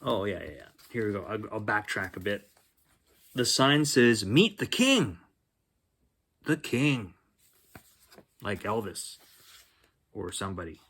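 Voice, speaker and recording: A man hosting a children's bedtime story reading, expressive, with chatty asides spoken straight to young listeners. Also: fairly low pitch, a little slow, pauses often, breathy edge. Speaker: children's story reader and host. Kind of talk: bedtime picture book read-aloud with chatty asides.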